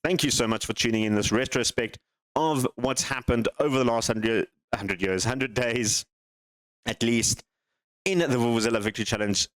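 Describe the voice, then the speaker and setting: A man's voice: mid-range voice, normal speed, no echo, rough recording. A man hosting a gaming channel, casually commenting on his game save as he thinks aloud, rambles and questions himself.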